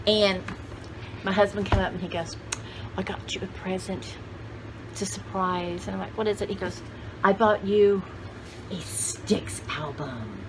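A woman in her sixties talks at an unhurried pace with a medium-pitched voice. She chats in a folksy, rambling style, breaking into exclamations and asides to herself. The recording is homemade, with clear noise behind her in an indoor room. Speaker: vlogger showing vintage finds. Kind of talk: casual rambling chat to camera. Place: cluttered indoor room with shelves.

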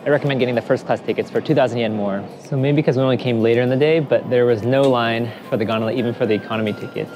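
A man's voice: medium pitch, lo-fi sound. A young man narrating a casual travel vlog as he talks to an action camera. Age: young adult. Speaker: travel vlogger. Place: ski resort, partly outdoors on slopes, partly in a gondola. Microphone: action camera at arm's length. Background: music.

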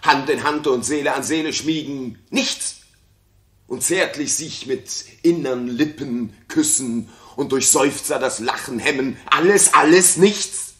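A man's voice: mid-range voice, measured pace, a bit bright, lightly acted. An actor playing a jealous, paranoid king in a 1960s German radio play, brooding and theatrical, moving between muttering and outbursts. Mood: jealous fury.

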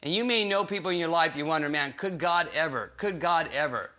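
A man's voice: fairly high pitch, a little fast. A man in his forties teaching a Bible lesson for small groups, acting out the voices of figures in the story, in a cozy living room set. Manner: animated, acts out voices in the story. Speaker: pastor.

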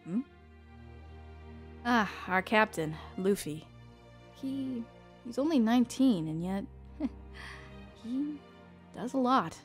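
A woman voicing a thoughtful young adventurer in an anime audio drama, phrasing things deliberately with pauses. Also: a little high, expressive, soft background music.

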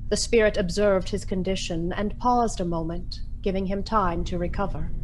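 A woman's voice: mid-range voice, low-quality recording. Solemn woman narrator over background noise. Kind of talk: scripted dramatic storytelling narration.

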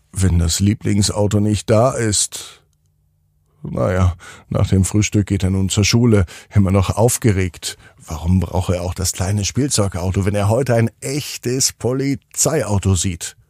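A man hosting a children's podcast and narrating bedtime stories, speaking directly to young listeners in simple, unhurried phrases. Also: lowish voice, dark tone, no echo, studio quality. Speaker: podcast host and bedtime storyteller.

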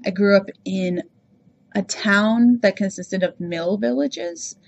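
Woman from rhode island, no accent, chatty podcast host, bit of vocal fry, kinda dull lo-fi sound. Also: medium pitch, animated, takes it slowly. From Rhode Island, USA. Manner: chatty, self-reflective, with frequent fillers. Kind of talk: casual personal monologue.

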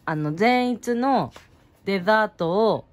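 A woman's voice: mid-range voice, lively intonation, slow. A woman co-hosting a family channel, casually chatting while unboxing anime goods and recounting each item.

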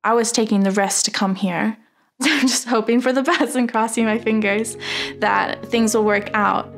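Young woman with a gravelly edge. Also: fairly high pitch, steady tone, normal speed, faint music behind. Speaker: student. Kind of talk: interview answer.

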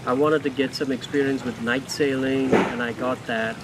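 A man's voice: medium pitch, little pitch movement, moderate pace, heavy background noise. A man answering interview questions casually, hesitant and thinking aloud with fillers, outdoors at a marina. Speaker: guest crew member interviewed.

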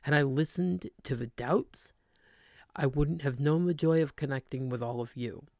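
A woman in her thirties, a cleaning channel host, speaking in a soft, earnest, sincere voice-over. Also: very deep voice, moderate pace, no echo, studio quality. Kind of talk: heartfelt voice-over narration.

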